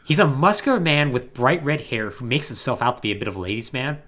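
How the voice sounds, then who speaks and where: Man, podcast host, lively varied intonation, casual story recap, conversational, wry asides. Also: medium pitch, quick pace. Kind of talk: casual plot recap commentary on a video game podcast.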